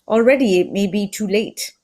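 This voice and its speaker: A woman playing a shrewd, polite Belgian male detective with a put-on Belgian French accent, formal and deliberate, in an old-time radio mystery style. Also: medium pitch, darkish tone, pitched below the performer's own voice, lightly acted. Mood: measured.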